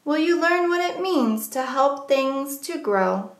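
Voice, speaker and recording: A woman reading a rhyming children's picture book aloud as a storyteller, with measured, deliberate phrasing for young listeners. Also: sits high, lively intonation, echoey room.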